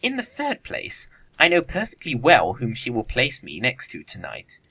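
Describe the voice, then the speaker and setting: A man's voice: mid-range voice, huge pitch swings, normal speed, bright, forceful tone, heavily acted. A man playing a flippant, witty young upper-class bachelor in a period comedy audio play, with an arch, theatrical delivery. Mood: mock indignant.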